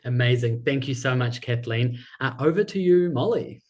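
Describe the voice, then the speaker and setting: A man's voice: mid-range voice, animated, normal speed, darkish tone. A man with a New Zealand accent hosting a live webinar, enthusiastic and reading out viewers' chat messages. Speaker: webinar host and business coach.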